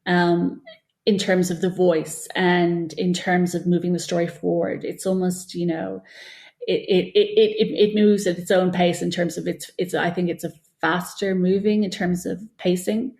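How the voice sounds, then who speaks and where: A woman's voice: lowish voice, level tone, unhurried, vocal fry, darkish tone. A woman giving conversational interview answers as an author, explaining things in a discursive way, thinking aloud as she goes. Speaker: guest, children's author and former TV producer.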